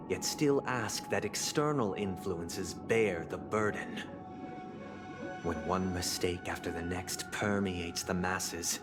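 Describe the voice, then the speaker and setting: A composed idealist from a video game, preaching in a deep-leaning register. He takes his time over each grand, measured phrase, solemn and a little condescending. Music plays clearly behind him. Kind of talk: dramatic game voice acting, philosophical monologue. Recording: studio voice under background music.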